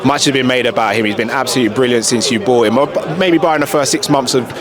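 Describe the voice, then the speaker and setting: A man's voice: medium pitch, quick pace. A man presenting a matchday vlog and interviewing fans as the host. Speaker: fan channel host and interviewer. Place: outdoors outside a football stadium. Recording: loud street crowd and traffic noise.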